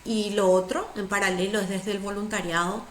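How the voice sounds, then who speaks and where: A woman's voice: mid-range voice, fairly even intonation, brisk, fairly bright tone, echoey room, a little hiss or hum. A middle-aged woman, speaking as a guest in a conversational interview, earnest and emphatic, repeating words for stress. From Paraguay. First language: Spanish.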